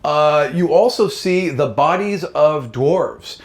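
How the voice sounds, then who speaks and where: A man's voice: on the high side, lively intonation, slow. A man acting as game master, improvising fantasy narration in a casual, conversational, occasionally profane way. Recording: roomy, echoing room sound.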